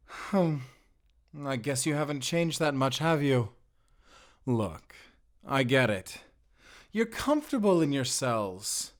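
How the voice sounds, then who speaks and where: A man playing a smug prison warden in an audio drama, taunting inmates with slow, mock-kind menace. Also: medium pitch, big pitch swings. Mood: cold, gloating.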